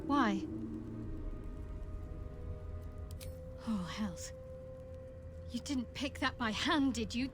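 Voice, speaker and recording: Guarded young female cleric, fantasy game, dry wit, slightly high voice, music clearly behind. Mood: playful, shy. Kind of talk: fantasy video game voice acting.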